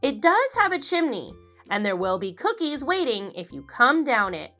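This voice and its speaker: Lady doing an eager little girl's voice, high and lively, from a storybook. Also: normal speed, faint music behind. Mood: pleading.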